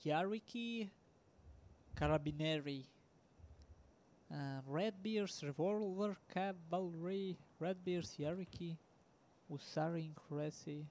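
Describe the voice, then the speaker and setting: A man's voice: on the high side, a little mellow, slight background noise. Russian-accented man casting a game match. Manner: halting, many ums and uhs, chuckles. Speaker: esports commentator. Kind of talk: casual game commentary.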